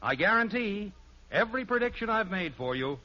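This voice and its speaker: Male American announcer, old-time radio, lively pitch swings, emphatic salesmanship, dull archival sound. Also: a little fast, very noisy. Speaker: announcer and narrator. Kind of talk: 1950s radio scripted announcing.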